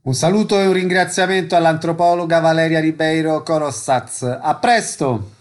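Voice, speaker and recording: A man's voice: on the high side, steady tone, moderate pace. A man hosting a radio interview, introducing and thanking guests. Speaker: radio host.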